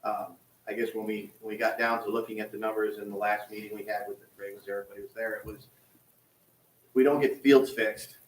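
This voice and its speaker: A man representing a softball league, explaining plans at a town board meeting in an easygoing way with frequent restarts. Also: lowish voice, fairly even intonation, fast, slight background noise. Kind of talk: public meeting discussion. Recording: distant mic in echoey hall.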